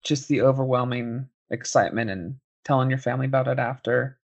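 A man's voice: medium pitch, steady tone, a little fast, vocal fry. A man hosting an interview, asking friendly, encouraging questions. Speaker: interview host.

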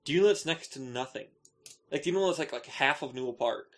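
A man with a bright, forward voice at an ordinary male pitch. He chats casually as a podcast co-host, with a joking, bantering way of talking. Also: fast.

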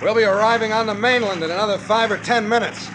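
A man's voice: high voice, lightly acted. A man playing a commanding boat captain. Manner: brisk and commanding. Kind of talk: 1940s radio acting. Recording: old radio recording with hiss.

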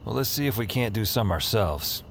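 An actor playing a weary, self-mocking noir hero, narrating in the first person in an audio drama with a dry, wry manner. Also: male voice, fairly low pitch, animated, moderate pace, gravelly, slight background noise. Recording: voice over music.

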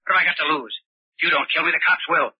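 Very raspy, reckless escaped convict. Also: male voice, fairly high pitch, very fast, dry recording. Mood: vengeful. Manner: tough, sardonic, slangy. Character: embittered, reckless escaped convict with nothing to lose. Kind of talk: old-time radio drama acting. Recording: muffled vintage radio recording.

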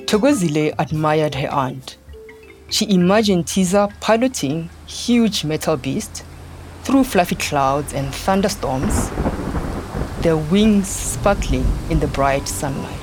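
A woman narrating a scripted children's story in a measured, deliberate way, pausing between phrases. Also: low voice, animated, a little mellow, rough recording. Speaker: podcast narrator. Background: music.

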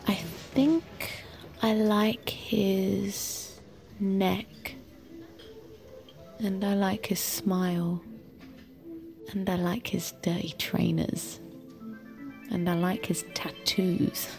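An earnest, innocent young woman, in an audio drama. She talks slowly and deliberately with a creaky edge, taking everything literally, with music behind her. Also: medium pitch, level tone, a little mellow. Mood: dreamy, smitten. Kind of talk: audio fiction acting.

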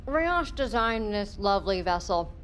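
An actress playing a playful, teasing marine biologist, chatting casually in a naturalistic audio drama. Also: female voice, medium pitch, very animated, a little slow, fairly bright tone, slight background noise, rough recording.

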